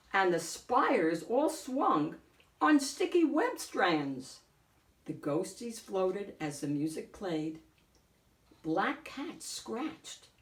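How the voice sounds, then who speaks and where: Slow, animated woman storyteller in echoey room. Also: medium pitch, recorded from a distance. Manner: deliberate, theatrical storytelling. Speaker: host of children's book read-aloud. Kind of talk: expressive picture-book narration.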